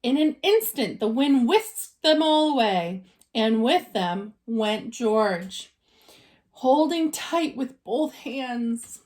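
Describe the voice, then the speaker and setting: A woman narrates a children's storybook in a high voice. She takes her time and moves expressively up and down, dramatizing the tale for young listeners as a teacher would. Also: animated, slow, a little mellow. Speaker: teacher reading aloud.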